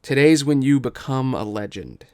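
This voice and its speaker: A man's voice: mid-range voice, expressive, moderate pace, natural voice. A man voicing a proud, stern father and former royal guard in a table read, with emphatic delivery. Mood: proud, hearty. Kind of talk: table read of a musical script.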